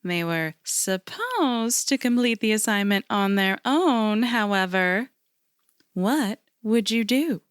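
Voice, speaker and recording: A woman playing an unflappable, eerie agency interviewer in an audio drama, measured and clinical as she probes. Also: mid-range voice, smooth. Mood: composed, probing. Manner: measured, clinical, calmly probing.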